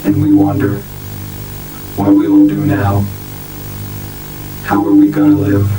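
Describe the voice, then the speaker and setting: Monotone, solemn man as monster news anchor. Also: on the low side, close to an everyday voice. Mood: bleak. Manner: solemn, deliberate. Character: grim monster news anchor reporting a catastrophe. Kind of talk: scripted fictional news bulletin. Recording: distant, roomy, music underneath.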